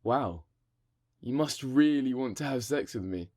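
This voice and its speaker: An actress playing a hesitant, teasing young romantic partner in a naturalistic radio play. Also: female voice, extremely low pitch, animated, measured pace, darkish tone, full character voice.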